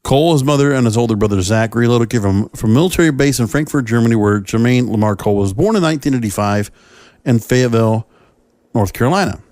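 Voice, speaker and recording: A male podcast host delivers solo commentary in a mid-pitched voice. He speaks in short, halting phrases, and the sound is dry with very little room around it. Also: lively intonation, a little mellow, no echo.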